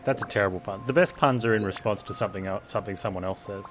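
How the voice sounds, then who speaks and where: A man's voice: fairly low pitch, rapid-fire, over music. A man streaming a game, chatting casually in a rambling, offhand way. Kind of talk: casual livestream chat.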